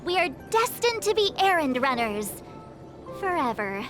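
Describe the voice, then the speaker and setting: A woman's voice: very high-pitched, very animated, a little slow, over music, heavily acted. An actress playing a playful, lazy young woman in a video game, theatrical and teasing. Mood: mock gloom, teasing. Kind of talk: scripted video game dialogue.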